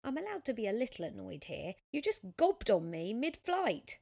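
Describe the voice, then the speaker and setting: A woman's voice: on the low side, lively intonation, moderate pace, natural voice. A woman voicing a nervous, self-deprecating seventeen-year-old schoolgirl in an audiobook, with a flustered, wry and dramatic manner. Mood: annoyed, sarcastic.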